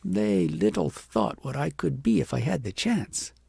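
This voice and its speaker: A vain young shepherd girl from a storybook, acted out by a man, haughty and self-pitying. The pitch is raised and swoops dramatically, with a gravelly, husky edge. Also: very animated, measured pace, darkish tone, no room sound, studio quality, exaggerated voice. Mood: wistful, boastful.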